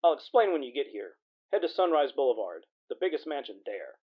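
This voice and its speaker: Casting: man to play curt noir caller on a muffled phone, quick and lively in pitch. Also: breathy edge. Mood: urgent, curt. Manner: terse, urgent. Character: brisk caller summoning a detective. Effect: telephone filter. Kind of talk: noir audio drama. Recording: dull, muffled phone line.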